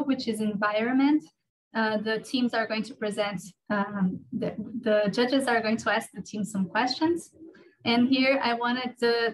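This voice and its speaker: Female moderator, slightly high-pitched, running a formal online session over a video call. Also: poor audio.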